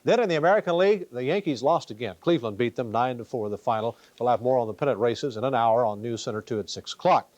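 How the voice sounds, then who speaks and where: A man delivering the sports news with a brisk, energetic manner. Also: medium pitch, no room sound. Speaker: sports anchor.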